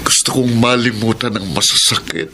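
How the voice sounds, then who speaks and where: A man's voice: lowish voice, moderate pace, heavy background noise, natural voice. A Filipino actor playing a remorseful, self-pitying gambler and grieving father in a radio melodrama. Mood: bitter despair.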